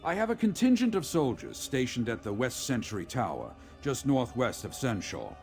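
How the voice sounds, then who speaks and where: A man playing a remorseful, resolute middle-aged general in a video game, with a gravelly voice and formal, measured military speech. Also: medium pitch, big pitch swings, darkish tone, soft background music. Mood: businesslike. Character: remorseful, resolute veteran male general, father of a grown daughter. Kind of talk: scripted video game character dialogue.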